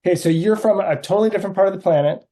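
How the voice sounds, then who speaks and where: Mellow-voiced male interviewer. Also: high-pitched, rapid-fire. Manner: friendly, probing questions. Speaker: interviewer, host. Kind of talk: casual interview on a video call.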